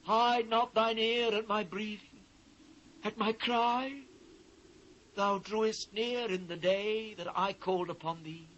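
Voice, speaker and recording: A man's voice: high voice, steady tone, slow, a bit bright, dull audio. A British man playing an anguished biblical prophet in a dramatised scripture reading, solemn, declamatory and impassioned. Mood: anguished, pleading. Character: anguished male biblical prophet lamenting his ruined people. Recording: faint noise, old cassette transfer.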